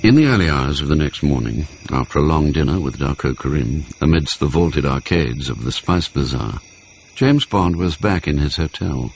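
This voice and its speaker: An actor playing the composed narrator of a spy thriller in a radio drama. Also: male voice, a bass voice, animated, moderate pace, soft background music. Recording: dull sound with slight noise.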